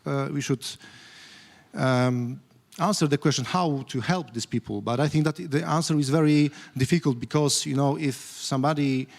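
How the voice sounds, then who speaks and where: A man with a Polish accent giving panel remarks in English, halting, with many "uh"s, restarts and non-native phrasing. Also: mid-range voice, vocal fry. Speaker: panel speaker.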